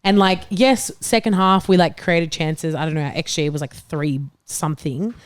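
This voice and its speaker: Lowish-voiced woman in emphatic football chat. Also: fairly even intonation, normal speed, dry recording. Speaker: football podcast panellist and fan. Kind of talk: casual chat, football debate.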